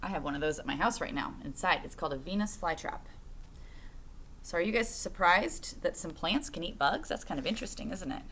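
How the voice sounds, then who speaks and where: A woman's voice: fairly low pitch, expressive, brisk, a bit bright, slight background noise. A woman reading a picture book aloud to children like a teacher, phrasing expressively and putting questions to her young listeners.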